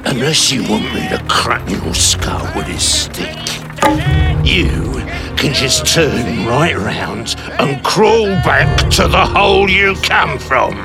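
An actor playing a gruff, threatening Victorian street heavy who talks coarse and rough. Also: male voice, medium pitch, huge pitch swings, slow pace, loud music behind the voice, heavily acted. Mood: menacing. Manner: threatening, coarse working-class speech. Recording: loud score under the voice.

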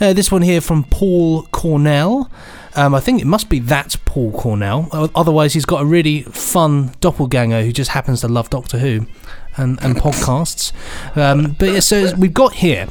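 A British man co-hosting a podcast in casual, rambling comic banter with a deadpan, mock-indignant manner. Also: a little high. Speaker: podcast co-host.

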